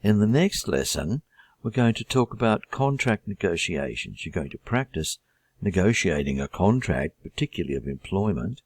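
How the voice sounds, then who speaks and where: A middle-aged Australian man introducing his English course in a measured, deliberate teaching manner. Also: deep voice, very animated, husky, no echo. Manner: measured, deliberate delivery with listed items.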